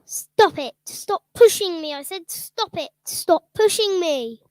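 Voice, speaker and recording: A spiteful stepmother whines and protests in a very high, pinched voice. She sounds sulky and grudging throughout. The sound is dry and close. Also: moderate pace, fairly bright tone, no echo. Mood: protesting. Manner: whining, protesting. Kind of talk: children's radio drama.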